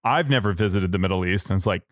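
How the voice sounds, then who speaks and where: A man's voice: sits low, quick pace, dry recording. Laid-back man with a dry, close sound. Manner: relaxed, laughs often, affirming backchannel. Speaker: podcast host. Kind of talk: casual interview chat.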